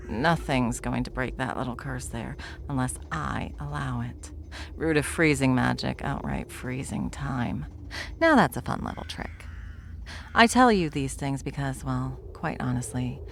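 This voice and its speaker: A woman playing a bored, smug, powerful villain in an ASMR roleplay, with a wry, taunting drawl and a creaky voice. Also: a bass voice, a little hiss or hum, exaggerated voice.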